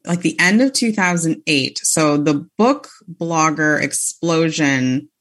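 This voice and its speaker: A woman's voice: sits low, lively intonation, takes it slowly, fairly bright tone. A woman author chatting as a podcast guest, talking freely with many "like" fillers and laughing easily. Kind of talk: casual interview chat.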